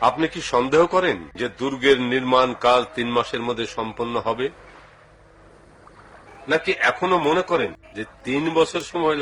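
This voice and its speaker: A composed young sultan from an animated series, voiced by a man. He speaks in measured, formal sentences with quiet authority. His tone is bright and forward. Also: mid-range voice, slight background noise, natural voice, poor audio. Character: young male sultan, calm and commanding. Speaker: dub voice actor. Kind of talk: cartoon dubbing.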